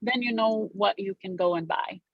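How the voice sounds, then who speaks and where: A woman's voice: mid-range voice, moderate pace, no echo, lo-fi sound. A middle-aged woman born in Romania, a realtor guest chatting casually in an interview, gracious and full of fillers.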